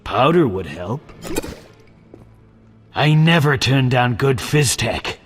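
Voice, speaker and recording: A man playing a shifty, bribable guard in a video game, with a raspy voice. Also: mid-range voice, lively intonation, slow pace. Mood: sly, eager. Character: shifty, bribable male drug-using guard. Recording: long echoing reverb, music behind.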